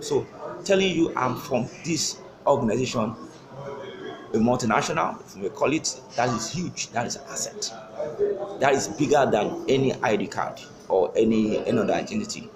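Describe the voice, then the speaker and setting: Earnest man coaching in an echoey office. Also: mid-range voice. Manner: earnest, repetitive, emphatic. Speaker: sales trainer. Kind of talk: motivational training talk. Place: office with wallpaper, seated in leather chair. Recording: roomy office with noise.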